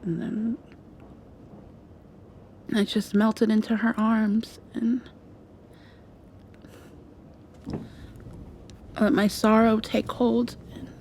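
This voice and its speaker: A shy, tender young woman, acted out by a woman in an intimate ASMR roleplay, confiding slowly and haltingly in a soft, often whispered voice. It is mellow, with plenty of fry at the edges. Some noise sits behind her. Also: on the high side, little pitch movement. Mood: wistful, sorrowful. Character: shy, tender young mosquito girl confessing past heartbreak to girlfriend.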